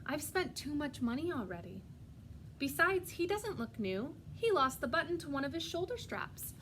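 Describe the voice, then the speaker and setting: A tired mother as a woman reads her aloud gently turns down her child with a sighing, dismissive manner. The storybook voice is fairly high, with some noise in the background. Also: very animated, normal speed. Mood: weary refusal. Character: weary mother gently refusing her daughter.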